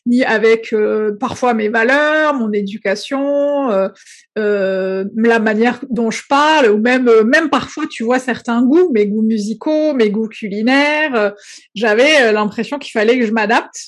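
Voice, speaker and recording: Brief: woman, young adult, from Algeria, non-native French, high-ish voice, reflective casual talk. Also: unhurried. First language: not French. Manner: reflective, filler-heavy, often says "tu vois". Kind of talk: casual conversation.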